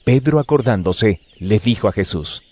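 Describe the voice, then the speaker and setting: A man's voice: fairly low pitch, lively intonation, moderate pace, warm tone. A man narrating dramatized scripture in a scripted audio production. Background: music.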